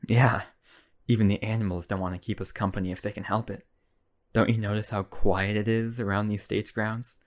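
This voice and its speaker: A man's voice: fairly low pitch, level tone, fairly quick, close to an everyday voice. A man playing a lonely, obsessive, wealthy vampire brother in a boyfriend ASMR roleplay, coaxing and polite. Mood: wistful.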